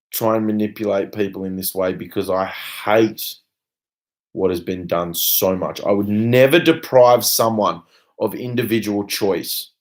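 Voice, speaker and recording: A young Australian man speaking off the cuff in a personal video rant, blunt and emphatic, with casual swearing and trailing asides. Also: on the low side. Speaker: vlogger. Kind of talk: unscripted personal rant to camera.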